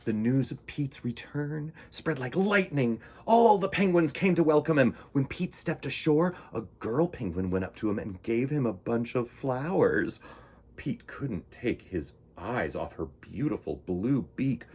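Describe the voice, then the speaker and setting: A male storytime host reads to children in a husky, mid-pitched voice. His delivery is theatrical and highly animated, leaning into dramatic emphasis as he narrates. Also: a little slow. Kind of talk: expressive picture-book narration for children.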